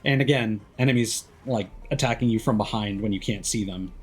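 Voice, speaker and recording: A man doing casual live commentary as a video game streamer, thinking aloud with hesitant pauses mid-sentence. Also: on the low side, slightly rough.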